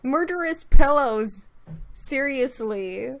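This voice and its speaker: A young woman in a casual chat, playful and opinionated, slipping in jokes as she goes. Her voice sits a little higher than most women's. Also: expressive, slow, poor audio. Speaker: guest co-host.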